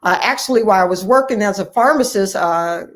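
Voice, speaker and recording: A woman's voice: medium pitch, a little fast. Middle-aged American woman telling her story. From Cleveland, Ohio, United States. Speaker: interview guest, family physician. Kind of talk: personal storytelling in a conversational interview.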